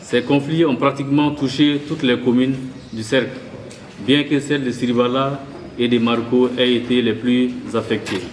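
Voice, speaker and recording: A man's voice: mid-range voice, fairly even intonation, normal speed. Man reading a speech, echoey room. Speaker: local official addressing participants. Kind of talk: formal read speech. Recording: echoey room with faint noise.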